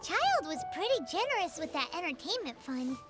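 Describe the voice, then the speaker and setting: Little fairy sidekick, piercingly high, childlike, cheeky, chatty, animated melody, video game. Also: female voice, normal speed, music in the background. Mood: sly. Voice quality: squeaky, childlike. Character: tiny chattery, cheeky floating fairy companion.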